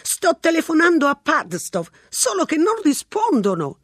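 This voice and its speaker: Shrill, slow chatty old woman. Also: huge pitch swings, a bit bright, no room sound, clean studio sound, full character voice. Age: older. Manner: chatty, rambling, unhurried. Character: scruffy garrulous old woman. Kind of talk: audiobook narration.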